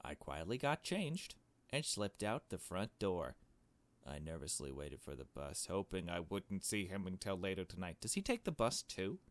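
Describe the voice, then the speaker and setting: A man's voice: deep voice, very animated, normal speed. A man doing a let's play, reading a visual novel aloud and adding casual, blunt asides. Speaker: gaming video host.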